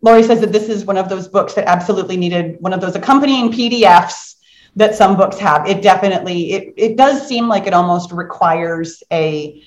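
A woman's voice: mid-range voice, a little fast. Woman hosting in an echoey room. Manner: animated, conversational, encouraging audience participation. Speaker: book club host. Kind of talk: casual live group discussion. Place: indoor room on a group video call. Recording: roomy echo.

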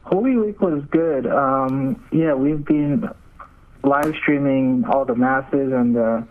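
A man's voice: mid-range voice, slow, narrow, tinny audio. A young man gives casual, hesitant interview answers as a seminarian guest over a remote call. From Nigeria. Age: young adult.

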